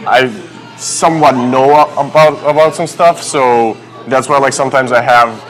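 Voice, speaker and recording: A young man from Serbia, a basketball player, answering interview questions in a halting way with many fillers and phrasing. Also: mid-range voice, steady tone, soft background music, poor audio. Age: young adult.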